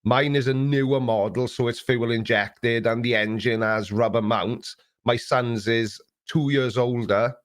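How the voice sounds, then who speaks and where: A man's voice: medium pitch, steady tone, a little slow, hardly any pauses. A middle-aged man hosting a live Q&A stream, chatting casually in a rambling, sarcastic, self-mocking way.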